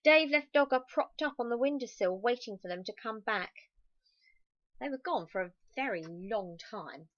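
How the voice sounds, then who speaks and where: A woman reading a children's story aloud expressively, stressing key words and slipping in soft, chatty asides to her young listeners. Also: fairly high pitch, animated, normal speed, highs cut off.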